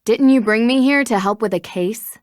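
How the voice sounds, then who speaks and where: An actress voicing a composed, inquisitive young American woman detective in an adventure game, politely probing and gently teasing. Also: on the high side, normal speed, no room sound, studio quality, close to an everyday voice. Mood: light, curious. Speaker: video game protagonist, voice actress. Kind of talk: acted dialogue in an adventure game.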